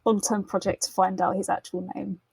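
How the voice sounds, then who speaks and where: A woman playing a tabletop role-playing game, talking casually in measured, thoughtful phrases. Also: medium pitch, little pitch movement, creaky, darkish tone, breathy edge, no room sound. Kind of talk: casual improvised game talk.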